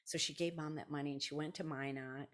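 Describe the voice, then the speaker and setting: A woman's voice: sits low, steady tone, fast talker, a bit bright. An American woman in her sixties from South Dakota tells personal stories as an interview guest, rambling conversationally and often interrupting herself to quote people. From South Dakota, United States. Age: 60s. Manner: rambling, self-interrupting, anecdotal with quoted dialogue. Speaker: podcast guest, retired kindergarten teacher. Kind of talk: casual conversational storytelling.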